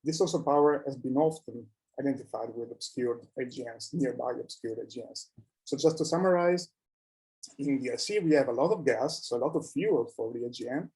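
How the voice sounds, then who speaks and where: A man presenting research in a scientific conference talk, with a halting delivery that often repeats words. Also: medium pitch, mellow, low-quality recording.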